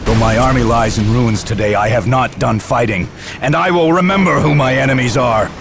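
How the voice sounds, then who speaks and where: An actor playing a proud, embittered and devout general in a video game, speaking in grandiose military oratory. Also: male voice, medium pitch, brisk, gravelly, very noisy, close to an everyday voice. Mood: bitter, defiant. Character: proud, embittered older Imperial Guard general, fervently devout. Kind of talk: theatrical video game cutscene acting.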